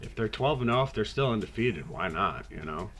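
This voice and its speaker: A man hosting a podcast, talking casually through a video game season in a raspy voice, hoarse from a cold, laid-back and rambling with the odd swear. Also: on the low side, expressive, brisk, fairly bright tone. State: hoarse, getting over a cold. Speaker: podcast host.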